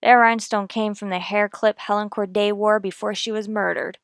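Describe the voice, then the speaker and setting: A woman's voice: mid-range voice, steady tone, moderate pace, clear, resonant tone, no room sound, clean studio sound, lightly acted. A woman playing a coolly methodical police detective sergeant in a radio crime drama, clipped and matter-of-fact. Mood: pressing, accusing.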